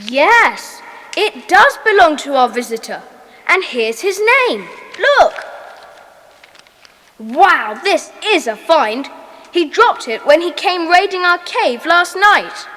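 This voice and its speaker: High, bossy, eager schoolboy leader. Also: female voice, very animated, slow, bright, forceful tone, heavily acted. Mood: excited, commanding. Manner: decisive, commanding, schoolchild diction. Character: bossy, eager boy leader of a children's secret club. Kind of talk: children's audio drama acting. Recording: reverberant, hall-like echo.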